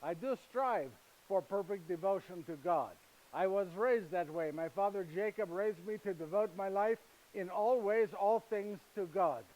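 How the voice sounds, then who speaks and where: A man's voice: high voice, normal speed, a little mellow, close to an everyday voice, low-quality recording. A man playing a chatty foreign-accented storyteller husband. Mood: fond storytelling. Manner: broken, foreign-sounding English with frequent "yes?" tag questions; chatty, storytelling, addresses listeners directly. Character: gentle, humorous male carpenter, husband and father, middle-aged. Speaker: actor performing a first-person character monologue. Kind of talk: live theatrical monologue.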